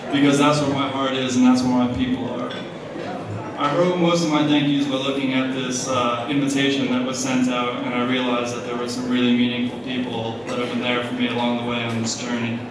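A young man from New Jersey giving a casual, joking acceptance speech at a gala, full of fillers, heard through the podium mic from the audience. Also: mid-range voice. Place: large hall, on stage at a gala. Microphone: podium mic through speakers, recorded from the audience. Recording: echoey hall from far off, noisy crowd. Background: crowd murmur and room noise.

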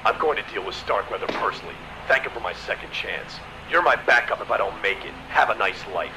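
An actor playing a grim, hard-bitten male fugitive in a video game, gravelly, curt and commanding. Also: on the low side, lively intonation, fairly quick. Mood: cold, resolved. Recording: very noisy game cutscene audio.

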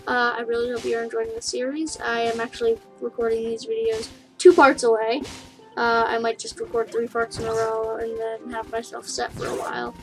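A woman casually narrating her play of a game for viewers, rambling and chatty, with giggles and excited yelps. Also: on the high side, moderate pace, low-quality recording. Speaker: gaming YouTuber. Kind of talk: casual let's play commentary. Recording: game music under the voice.

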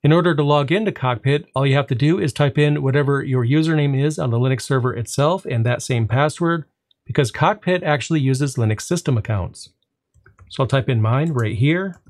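Man walking through a server dashboard. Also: medium pitch. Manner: relaxed explanatory, drawn-out "well" before punchlines. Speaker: tech tutorial host. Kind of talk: screen-recorded software walkthrough.

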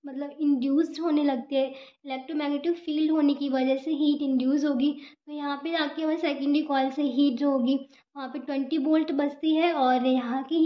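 High-voiced young woman teaching. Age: young adult. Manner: repetitive, asks and answers own questions. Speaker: physiotherapy student giving a lesson. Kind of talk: informal whiteboard lecture, explaining step by step. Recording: echoey room, dull lo-fi sound.

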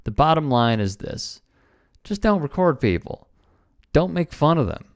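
A man's voice: fairly high pitch, big pitch swings, a little slow, no echo. Male news host, stern and lecturing, dry studio sound. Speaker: sports news commentator. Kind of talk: scripted news commentary.

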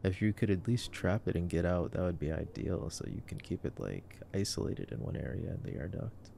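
A young man murmurs casual ASMR movie commentary in a very low voice. It is heavily fried and gravelly, with a dark, mellow tone. His delivery is drowsy and slangy, like relaxed boyfriend roleplay. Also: brisk, a little hiss or hum. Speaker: ASMR voice performer.

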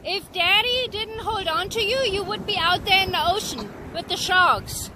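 A woman's voice: extremely high pitch, expressive, moderate pace. A woman vlogging with a handheld phone on an ocean beach, exclaiming and laughing often as she narrates. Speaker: family vlogger filming. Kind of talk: excited casual narration while filming. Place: outdoors on an ocean beach with surf. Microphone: handheld phone camera. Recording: loud surf noise behind.

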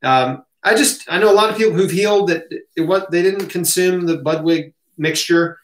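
An American man with a fairly high voice talks casually to camera in a home office. The room adds a clear echo. He hosts a Q&A, giving opinionated answers in colloquial language. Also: normal speed, a bit bright, slight background noise. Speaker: host answering audience questions. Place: small home office, indoors.

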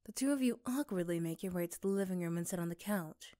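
A woman's voice: on the low side, fast, dark tone, no room sound, studio quality. A woman reading scripted story narration for an ASMR roleplay.

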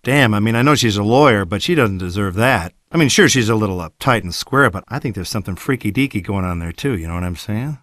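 An actor playing a cocky, sarcastic action hero in a video game cutscene, brash and swaggering. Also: male voice, fairly low pitch, animated, fast talker, few pauses, fairly bright tone, no room sound, clean studio sound. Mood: exasperated, grudging. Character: cocky, wisecracking one-handed male action hero.

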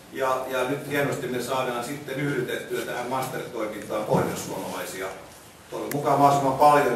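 A man giving an informal presentation to a meeting. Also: medium pitch. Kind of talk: informal presentation of a competition calendar. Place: indoor meeting room, camera in the audience. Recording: echoey meeting room, distant mic, noisy.

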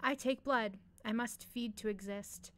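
A woman's voice: on the high side, measured pace, close to an everyday voice. A woman voicing a mad, boastful undead male sorcerer from a fantasy story, menacing and grandiose.

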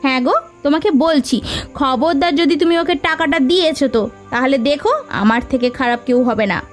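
A woman voicing a scornful, sharp-tongued married sister-in-law in a moral story cartoon, scolding with taunting questions. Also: sits high, normal speed, soft background music, natural voice. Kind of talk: dramatic cartoon dialogue.

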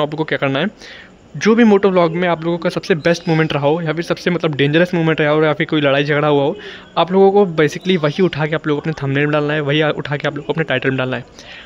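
A man's voice: a little high, fairly even intonation, fast. A young man with an Indian accent giving a casual vlog tutorial, explaining informally and repetitively with English words mixed in. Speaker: motovlogging YouTuber giving beginner tips. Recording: dull, lo-fi sound.

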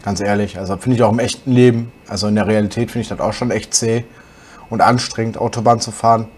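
German gamer with a slight cold. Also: male voice, fairly low pitch, fast, slight background noise. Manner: relaxed, chatty, musing aloud while driving. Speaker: gaming channel host. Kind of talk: casual game commentary.

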